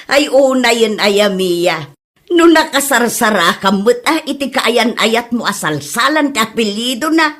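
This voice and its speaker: A woman's voice: fairly high pitch, lively intonation, unhurried, fairly bright tone. A woman with an Ilocano Filipino accent playing a mocking, giggly mother and auntie in a comedy drama, exclaiming and laughing through her words. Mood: mocking amusement.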